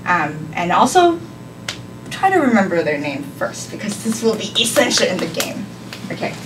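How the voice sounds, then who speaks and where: A young Cantonese speaker from leads a beginner class in English. She talks at a mid pitch, hesitating often with ums and soft laughs. The classroom is echoey and noisy, and she sounds well away from the mic. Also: very animated, normal speed. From Hong Kong. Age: young adult. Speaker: volunteer language teacher. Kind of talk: live classroom lesson. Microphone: far camera. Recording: echoey room from far off, noisy.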